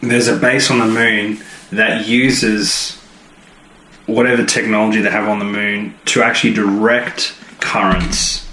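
A man's voice: on the low side, level tone, unhurried, sharp tone. A man co-hosting a podcast in casual, jokey conversation. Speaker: podcast co-host. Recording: echoey room, clear background noise.

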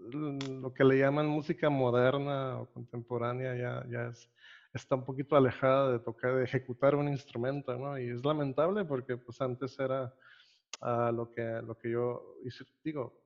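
A man's voice: medium pitch, muffled recording. A Costa Rican-born man, hosting a casual interview, laid-back and rambling, laughing often. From Costa Rica. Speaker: podcast host.

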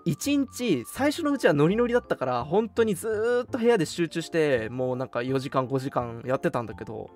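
A radio host chatting casually and telling stories in an animated, emphatic, colloquial way. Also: medium pitch, fairly quick, hardly any pauses. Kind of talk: casual chat, storytelling. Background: background music.

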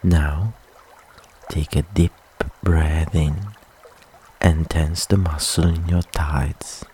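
A man leading a guided relaxation meditation with soft, soothing, unhurried instructions and long pauses. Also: a bass voice, steady tone, slight background noise.

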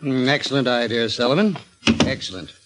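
An actor playing a shrewd, sardonic, menacing crooked lawyer in a 1940s radio drama. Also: male voice, medium pitch, expressive, very noisy, close to an everyday voice. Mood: sardonic, cool. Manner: deliberate, sardonic, threatening. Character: shrewd, menacing male crooked lawyer demanding his cut.

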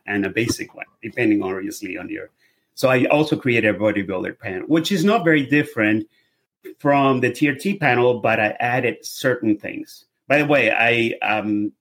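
A man's voice: mid-range voice, a bit bright. A middle-aged man from Venezuela with a Venezuelan accent, explaining things in a casual interview, with loose run-on sentences and restarts. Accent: Venezuelan Spanish. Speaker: guest, lab testing business owner.

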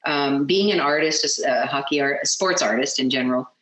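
A woman's voice: rumbling low, brisk, fairly bright tone. A middle-aged woman with a Canadian accent, chatting casually as a guest and often thinking aloud hesitantly. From Edmonton, Canada. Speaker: interview guest, sports artist. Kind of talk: casual chat. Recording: roomy, echoey sound.

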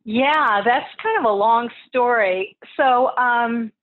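A woman's voice: fairly high pitch, slow. A woman from Texas, a podcast guest who founded an institute, talking casually and chattily over the phone. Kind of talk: casual interview talk. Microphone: phone line. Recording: tinny phone line.

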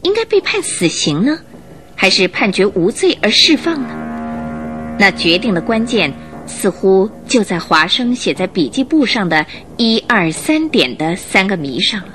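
A woman narrator telling a radio drama story in an expressive, scripted and dramatic style, building suspense. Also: mid-range voice, moderate pace. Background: music.

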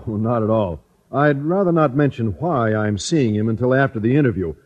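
Man acting earnest naive male teacher, polite, lively intonation, vintage radio comedy, dull recording. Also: medium pitch, fairly quick, close to an everyday voice. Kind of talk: 1950s radio sitcom acting.

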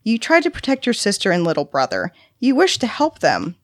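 Woman playing a patient, coaxing carer, very animated. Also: medium pitch, no echo, studio quality, voice pushed up. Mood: gentle, insistent. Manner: soothing, deliberate, explaining as to a child. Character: patient, coaxing female carer handling a volatile child.

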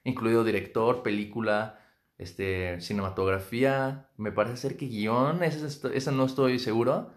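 Mexican man, podcast host, relaxed and joking, mid-pitched voice. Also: normal speed. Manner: relaxed, joking, stop-start. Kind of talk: casual chat.

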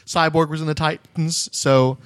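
A man's voice: a little high, steady tone, moderate pace, clean tone, no echo, studio quality. A man hosting a casual comic-book chat, explaining things in knowledgeable detail. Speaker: podcast host interviewing a friend.

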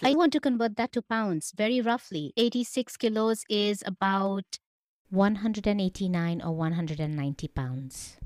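Lady hosting a podcast, mid pitch, super dry mic, talks right to the listeners. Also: moderate pace, dry recording. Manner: warm, encouraging, addresses listeners directly. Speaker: podcast host.

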